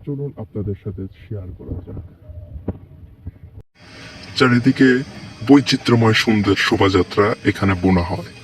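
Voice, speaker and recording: A man's voice: lowish voice, normal speed, long pauses, distant mic. A man with a Bangladeshi accent giving walking travel vlog commentary outdoors, guiding viewers around as he goes. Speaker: vlogger, tour guide to viewers.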